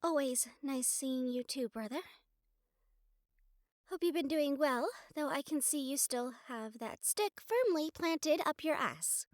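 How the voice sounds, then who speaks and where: An actress playing a sardonic, defiant young fledgling vampire in an audio roleplay drama, with a wry, sarcastic delivery. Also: female voice, high voice, expressive, measured pace, no room sound, studio quality. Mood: sarcastic defiance.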